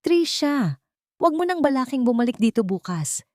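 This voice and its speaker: A woman's voice: sits high, very animated, normal speed, darkish tone, no room sound, clean studio sound, voice pushed up. A woman voicing a harsh, dismissive eatery owner in a drama story. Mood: cold.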